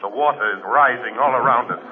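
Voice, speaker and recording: An actor playing a grim, invisible male avenger who speaks in a measured, commanding way, in 1930s radio drama style. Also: medium pitch, phone-quality sound, natural voice. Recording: scratchy 1930s radio broadcast, hissy.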